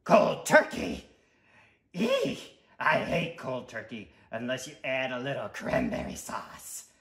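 A man's voice: mid-range voice, measured pace, reverberant, a crowd in the background, close to an everyday voice. A man voicing a bossy, greedy, wisecracking talking tooth from a children's storybook, brash and demanding. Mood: dismayed, pleading.

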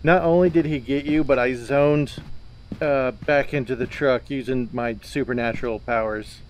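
A man's voice: medium pitch, steady tone, moderate pace, a little hiss or hum. A man playing a co-op horror game, chatting casually and animatedly, with exclamations and some swearing. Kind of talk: casual gameplay chat. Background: game ambience and noises.

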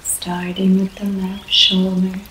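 A woman leading a guided yoga nidra relaxation in a soft, soothing voice, with long pauses between short phrases. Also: mid-range voice, completely flat delivery. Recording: echoey room, faint background noise.

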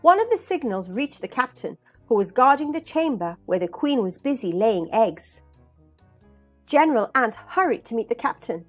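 A woman's voice: a little high, moderate pace, slightly rough. A middle-aged woman hosting and reading a children's storybook aloud, expressively. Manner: expressive, addressing children directly. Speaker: storyteller and host. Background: background music.

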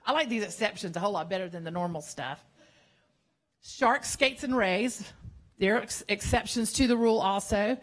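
A woman in her sixties, a marine educator, gives a casual public science talk in a playful, chatty way, often correcting herself mid-sentence, speaking into a handheld mic in a big museum hall. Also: medium pitch. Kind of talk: informal science cafe lecture. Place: large museum cafe hall with a seated audience. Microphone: handheld microphone.

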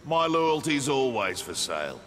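An actor playing a cocky, sleazy mercenary crime boss in a video game, with a rough Australian accent and a taunting manner. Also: male voice, mid-range voice, very animated, unhurried, a little hiss or hum. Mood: sardonic. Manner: taunting, sardonic. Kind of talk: video game character banter. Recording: game audio over loud ambience and music.

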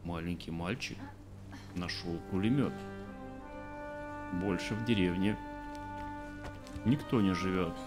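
A man's voice: sits low, takes it slowly, pauses often. A man in his thirties streaming a game, joking with chat and reading the subtitles aloud. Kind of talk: casual let's play commentary. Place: small room at home. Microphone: desk mic, webcam framing. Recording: game music playing behind. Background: game music.

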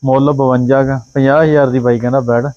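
A Punjabi-accented man reels off a cow's traits in quick singsong checklists on a farm yard. His voice sits at a middling pitch. The audio is a little dull and lo-fi. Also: flat delivery, mellow. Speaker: livestock video host. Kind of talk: casual livestock sales commentary. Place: outdoor dairy farm yard.

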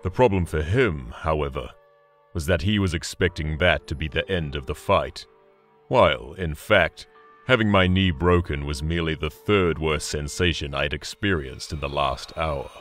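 Gloating winged demon narrator, audio drama. Extremely low, theatrical, menacing; music underneath. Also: male voice, normal speed, fairly bright tone. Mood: grim relish. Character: gloating, battle-loving winged demon warrior, male, huge. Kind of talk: first-person dramatic story narration. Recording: music behind the voice.

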